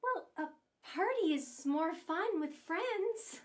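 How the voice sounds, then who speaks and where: Puppet-show firefly played by a woman: cheerful young female, extremely high, animated, unhurried, encouraging. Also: heavily acted. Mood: happy, grateful. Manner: encouraging, bubbly. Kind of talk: children's puppet show acting.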